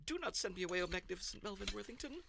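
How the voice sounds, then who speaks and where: A woman's voice: mid-range voice, lively intonation, racing, pushed and bright. A woman playing a male alien disciple in a zany sci-fi audio comedy, groveling and desperate, with stilted formal phrasing. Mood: fawning, desperate. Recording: clearly noisy track with clicks.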